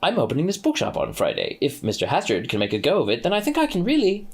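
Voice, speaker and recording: Man reading as a high, husky, fussy angel bookseller who stammers; dithering, lively. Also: fast talker, exaggerated voice. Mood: flustered.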